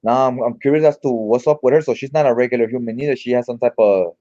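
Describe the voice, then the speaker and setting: A man's voice: mid-range voice, fast, warm tone, highs cut off. A man giving casual read-along comic commentary in an informal, slangy, mildly profane way. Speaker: comic book reviewer.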